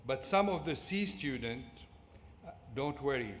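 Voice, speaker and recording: A middle-aged man from Syria with a Syrian Arabic accent, giving a prepared motivational commencement speech in deliberate short phrases. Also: mid-range voice, expressive. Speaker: commencement speaker. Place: large indoor fieldhouse at a ceremony. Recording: echoey fieldhouse PA with clear background noise.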